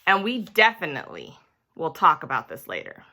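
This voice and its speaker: A woman voicing a weary, patient male schoolteacher in a storybook, measured, stern but kindly and gently exasperated. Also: sits low, huge pitch swings, voice pushed down, exaggerated voice.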